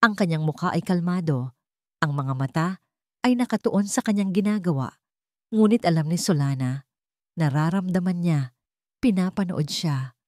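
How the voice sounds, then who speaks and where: Woman narrator, scripted storybook reading, calm measured pace, lowish voice, dry close sound. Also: dry recording.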